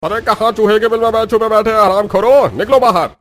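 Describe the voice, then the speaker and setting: A man's voice: sits high, fairly quick, a bit bright. A man playing a rude, grumpy street trader and moneylender in a cartoon story, with a brusque, scolding manner. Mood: angry, threatening. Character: grumpy, rude male vegetable seller and bullying moneylender. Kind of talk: Hindi cartoon story acting. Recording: music behind the voice.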